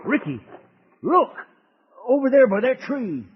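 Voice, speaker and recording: An American man playing a folksy old cowboy uncle, telling a radio serial to children in a rustic drawl with a husky voice. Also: extremely high pitch, sing-song, measured pace, heavily acted. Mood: grave, fatherly. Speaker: narrator. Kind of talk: 1950s radio serial storytelling. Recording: dull old radio recording.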